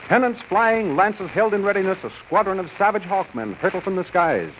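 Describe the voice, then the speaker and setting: Dramatic adventure announcer, sweeping intonation, vintage radio narration, lo-fi sound. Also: male voice, sits high, lively intonation, brisk, fairly bright tone. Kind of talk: 1930s radio serial narration. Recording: muffled old radio recording, faint hiss.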